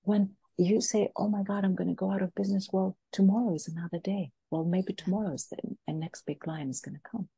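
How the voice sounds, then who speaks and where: A woman's voice: medium pitch, fairly even intonation, brisk, gravelly, hardly any pauses, mellow, breathy edge, no room sound. A woman who grew up in France, a business coach speaking as a podcast guest in an earnest, motivational way. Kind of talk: podcast interview talk. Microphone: podcast mic.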